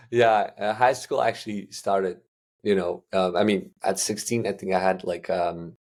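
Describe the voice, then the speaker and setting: A man's voice: low-pitched, steady tone, slow pace, a little mellow. A young man from Uzbekistan, a guest answering interview questions in a loose, casual way with lots of fillers and restarts. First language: Russian. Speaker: guest entrepreneur.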